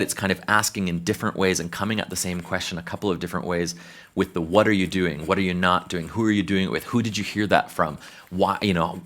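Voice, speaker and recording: American male consultant, very low voice, thoughtful panel talk with restarts. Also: sharp tone. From United States. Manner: thoughtful, hesitant restarts, conversational. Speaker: behavioral science consultant.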